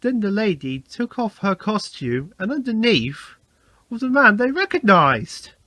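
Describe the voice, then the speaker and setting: A man's voice: sits high, sing-song, a little slow, pushed and bright, no room sound. A man reading a scripted children's story as narrator.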